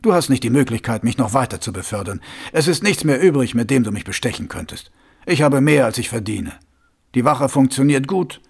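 A man voicing a blunt, gruff male commander of a city watch who speaks curtly and plainly. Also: mid-range voice, expressive, brisk. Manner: gruff and curt.

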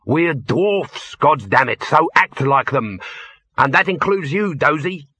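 A man's voice: a little high, animated, slow pace, pushed and bright, husky, dry recording, exaggerated voice. A man doing a furious dwarf overseer. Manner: blustering, threatening, emphatic.

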